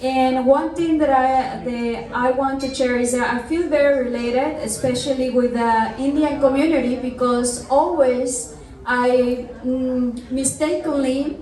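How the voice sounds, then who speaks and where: A high-voiced woman from Mexico speaks slowly and carefully, thanking the audience in a measured speech. Her voice echoes around a large hall, picked up from a distance. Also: fairly even intonation, a little mellow. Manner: measured, thankful, with pauses and fillers. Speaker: film producer giving a speech. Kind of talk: short speech at an event. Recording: echoey hall, mic far off.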